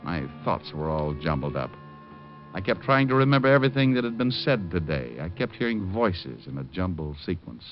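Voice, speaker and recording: A man's voice: low voice, sing-song, normal speed. An American actor playing a wry, hard-boiled private detective who narrates his case in old radio style, dry and wisecracking. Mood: brooding, wry. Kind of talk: old-time radio acting, scripted narration. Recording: dull old radio recording. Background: dramatic music.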